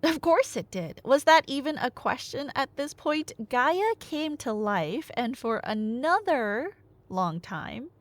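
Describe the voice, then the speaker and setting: Woman, higher voice, very animated intonation, unhurried, playful nerdy rambling, casual storytelling, dramatic pauses. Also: dry recording. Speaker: ASMR roleplay audio performer playing a talkative girlfriend. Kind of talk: casual roleplay monologue, storytelling.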